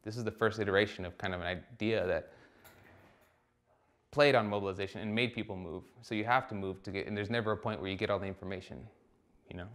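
A man's voice: lowish voice, fairly even intonation, fast talker, fairly bright tone. A man in his thirties from Costa Rica, an artist talking reflectively in a documentary interview, with many fillers and restarts.

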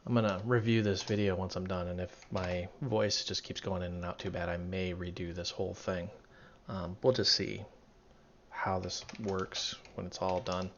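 A man with a voice going raspy, walking through code in a casual tutorial, thinking aloud and correcting himself as he goes. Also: low-pitched, flat delivery, normal speed, a little hiss or hum. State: losing his voice, raspy. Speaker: programming tutorial instructor. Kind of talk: casual screen-recorded coding walkthrough. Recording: dull lo-fi mic, keyboard typing behind. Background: keyboard typing and clicking.

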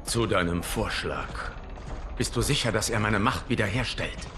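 Low-voiced noble warrior god played by a man. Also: normal speed, noisy background, close to an everyday voice. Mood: earnest, grateful. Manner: earnest, formal, dignified. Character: noble, earnest male warrior god, protector of the realm. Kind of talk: dubbed video game dialogue. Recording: music behind the voice.

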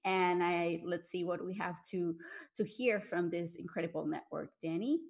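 A woman in her thirties hosting an online panel, introducing it haltingly with frequent pauses. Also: fairly low pitch, fairly even intonation, vocal fry. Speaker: session host. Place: small room at home, on a video call.

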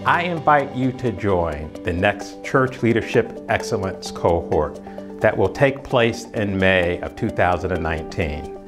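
Deep-voiced man reading a promo. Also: lively intonation, measured pace, music in the background. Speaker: seminary program director. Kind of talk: scripted promotional narration. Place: indoor office with painting behind.

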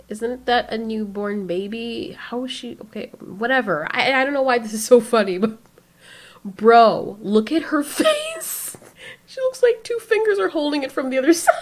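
A woman records casual reaction commentary in a fairly high voice. Her pitch swings around freely. She sounds sarcastic and exasperated as she picks things apart. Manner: sarcastic, exasperated, mildly profane. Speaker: reaction video host.

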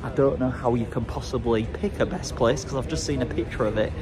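A man's voice: mid-range voice, brisk, crowd noise. A young man talking casually to a handheld camera on city streets, chatty, cheeky and playful. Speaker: travel vlogger. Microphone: handheld camera at arm's length.

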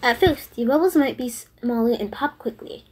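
A woman with a slightly husky voice giving simple step-by-step instructions as a voice-over for a children's craft. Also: on the high side, moderate pace, a little hiss or hum.

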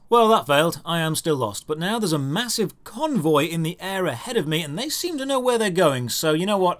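A man narrating a scripted video game review in a sardonic, deadpan way with dry commentary. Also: a little high.

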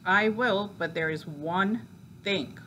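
A woman's voice: mid-range voice, takes it slowly, bright, forceful tone, slight background noise. A woman voicing a small, sly young male piglet in a storybook, polite and coaxing with mock innocence. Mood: coaxing, innocent.

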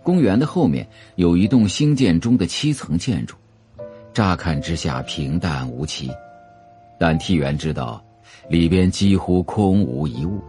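Deep, mellow male drama narrator. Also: animated, measured pace. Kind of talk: scripted audiobook-style drama narration. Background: faint music.

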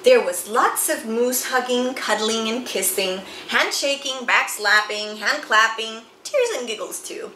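A middle-aged woman reads a picture book aloud to children, with theatrical, deliberate, emphatic phrasing. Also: a little high, sharp tone. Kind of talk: expressive children's read-aloud storytelling. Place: small indoor room with bookshelves and chalkboard. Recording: roomy sound with faint background noise.

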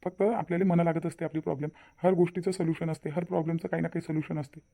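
A man plays a devoted young cartoon fiance with a very mellow, dark voice and a Varhadi Marathi accent. He talks quickly and colloquially, repeating himself for emphasis as he reassures and insists. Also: a little high, flat delivery, gravelly. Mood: reassuring, pleased. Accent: Varhadi Marathi from Vidarbha. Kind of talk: cartoon family drama dialogue.

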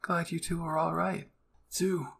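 Man acting a calm, creaky young woman soldier. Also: fairly high pitch, a little slow, voice pushed up. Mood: reassuring.

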